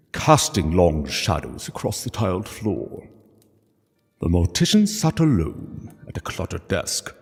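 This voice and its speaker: Deep, slow male story narrator in reverb. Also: darkish tone, breathy edge. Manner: solemn, measured, pausing between phrases. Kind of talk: dramatic scripted story narration. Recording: long reverb, music underneath. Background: music.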